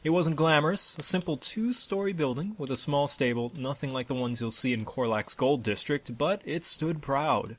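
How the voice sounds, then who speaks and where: A man playing a genial, grandiose troupe leader, narrating a fantasy audio drama in a measured, showman-like way. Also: fairly high pitch, a bit bright. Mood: storytelling. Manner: measured, showman-like, formal phrasing. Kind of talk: dramatic fantasy storytelling to an audience. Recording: music under the voice. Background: background music.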